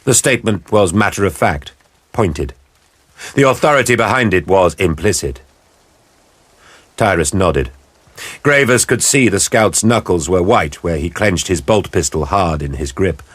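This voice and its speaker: A man narrating an audio drama in a measured, grave storytelling style. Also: sits low, lively intonation, fairly bright tone, husky, no echo. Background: music.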